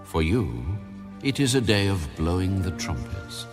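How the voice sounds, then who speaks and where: Low male voice reading bible verses, solemn and deliberate, really expressive rise and fall, music underneath. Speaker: Bible narrator. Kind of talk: dramatic scripture reading, audiobook narration. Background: background music.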